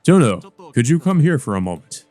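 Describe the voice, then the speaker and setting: A man's voice: mid-range voice, big pitch swings, fairly quick, a little mellow, soft background music, voice pushed up, close to an everyday voice. A man voicing a mild, courteous physics teacher with formal concern in a visual novel. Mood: mildly concerned. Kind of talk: read-aloud visual novel dialogue.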